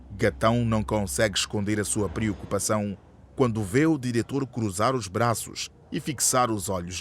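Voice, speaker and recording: Low-voiced male radio drama narrator.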